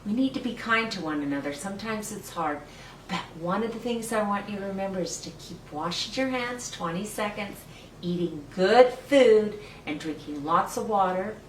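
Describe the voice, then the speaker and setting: A woman's voice: mid-range voice, animated, moderate pace. Older lady doing storytime for little kids, playful and encouraging, echoey room, mic kinda far off. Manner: playful, encouraging, speaks simply as to small children. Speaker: children's story time host. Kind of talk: read-aloud storytelling with chat to young children. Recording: roomy, distant mic with faint noise.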